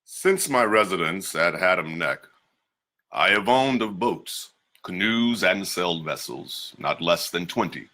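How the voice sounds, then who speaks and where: Very deep, forceful man reading aloud. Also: expressive. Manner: measured, deliberate delivery with slowed emphases. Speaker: reader. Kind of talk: dramatic reading of an 18th-century memoir.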